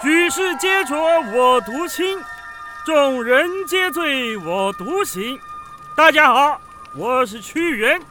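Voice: sits high, lively intonation, takes it slowly, music in the background. An actor playing a pompous ancient poet judging food in a children's radio drama, theatrical and savoring every phrase. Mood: grandiose.

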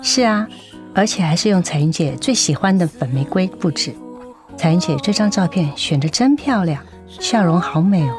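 A woman's voice: fairly low pitch, lively intonation, moderate pace, darkish tone, faint music behind, lightly acted. An actress playing a kindly, caring woman church preacher in a Mandarin radio drama. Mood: caring, wistful.

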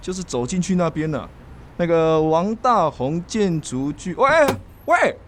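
Animated, chatty, flustered male suitor. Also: a little high, unhurried, slight background noise. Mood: chatty, helpful. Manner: stammering, rambling, sentence-final particles. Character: eager, chatty, flustered suitor proposing to a woman. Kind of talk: radio drama acting.

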